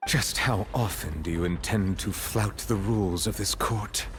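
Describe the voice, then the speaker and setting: A man playing a stern, dignified chief judge in a video game, formal, measured and authoritative. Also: on the low side, slight background noise, lightly acted. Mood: reproving. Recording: music under the voice.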